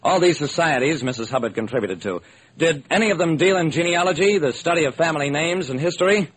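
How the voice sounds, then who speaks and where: An actor playing a brisk, determined hard-boiled male private detective, narrating and acting in a classic radio crime drama. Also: fairly high pitch, expressive, rough recording. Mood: intent, clipped. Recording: old, slightly rough radio recording.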